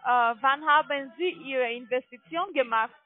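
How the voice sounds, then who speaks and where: A woman with a foreign accent in halting German, working as a call centre agent and insistently questioning a customer. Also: sits high, no room sound. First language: not German. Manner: halting, non-native phrasing, insistent questioning. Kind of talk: scripted cold-call sales pitch over the phone. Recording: tinny narrowband phone line.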